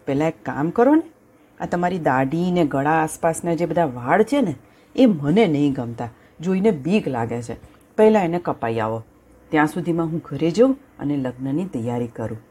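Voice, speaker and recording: A woman's voice: low-pitched, lively intonation, moderate pace, mellow, slightly rough. A woman voicing a brave, clever teenage farm girl who coaxes and teases in a sweet tone. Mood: coaxing. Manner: sweet, teasing, coaxing.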